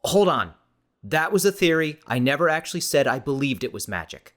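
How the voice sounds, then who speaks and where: A man's voice: fairly high pitch, very animated, fairly quick, a bit bright, pitched above the performer's own voice. A man playing a skeptical, easily exasperated eighteen-year-old boy in an audiobook, sardonic and defensive, hesitant when cornered.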